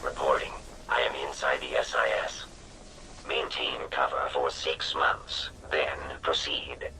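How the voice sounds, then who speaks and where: An actor playing a shadowy, menacing conspirator in a video game, heard over a radio transmission. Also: male voice, extremely low pitch, little pitch movement, measured pace, over loud music. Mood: secretive, flat. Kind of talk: video game cutscene acting. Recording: noisy, distant radio transmission.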